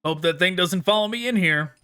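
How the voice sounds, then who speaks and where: A man's voice: high-pitched, brisk, bright, forceful tone, smooth. A man giving casual, rambling gameplay commentary as a video game streamer. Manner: rambling, musing.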